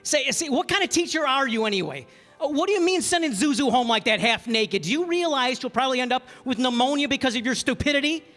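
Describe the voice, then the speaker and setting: A man acts a family man at the end of his rope in a radio drama. He speaks in a high, frayed voice, halting and repeating words. A long hall reverb surrounds him. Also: expressive, a bit bright. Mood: agitated, angry. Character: desperate, frayed family man at breaking point. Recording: echoey hall, stage reverb.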